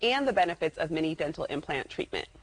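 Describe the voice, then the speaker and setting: A woman's voice: low voice, sing-song, fast, poor audio. A woman working as a television host, asking questions in a promotional interview segment.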